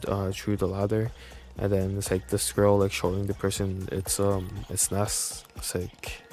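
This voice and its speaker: Low-voiced, rambling young male streamer. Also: monotone, a little mellow. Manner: rambling, halting delivery. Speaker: game streamer. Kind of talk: casual livestream chat.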